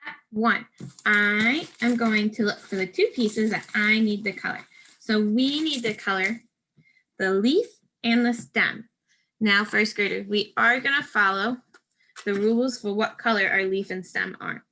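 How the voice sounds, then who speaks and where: A woman's voice: medium pitch, a little slow, pushed and bright, a little hiss or hum, low-quality recording. A woman teacher giving a step-by-step craft lesson to first graders, speaking directly to children in simple, patient instructions.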